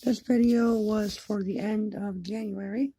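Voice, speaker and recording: A woman chatting casually to viewers as a hobbyist video maker, in a halting, repetitive, plain-spoken way. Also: mid-range voice, steady tone, dark tone, breathy edge, dry recording, low-quality recording. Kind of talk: casual chat to camera. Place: indoors in an apartment, by a window.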